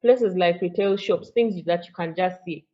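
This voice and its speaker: A woman's voice: on the low side, normal speed. A woman with a Ugandan accent, explaining things as a guest in a phone interview. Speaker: interview guest, online English teacher. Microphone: phone call. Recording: dull, band-limited phone call.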